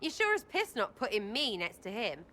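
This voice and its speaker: Game casting: young English rebel elf woman, high, forceful voice, cheeky, foul-mouthed, combative. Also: big pitch swings, moderate pace. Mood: indignant. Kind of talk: video game voice acting.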